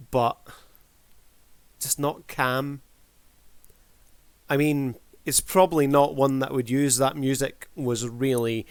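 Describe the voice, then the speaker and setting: A man's voice: medium pitch, smooth, no echo. A man hosting a casual video review, commenting in a showman-like way as he addresses his viewers.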